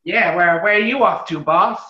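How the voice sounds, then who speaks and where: A woman's voice: lowish voice, moderate pace, lightly acted. A woman playing a loyal, deferential male gangster henchman in a 1940s-style radio play. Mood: obliging. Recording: echoey room, dull lo-fi sound.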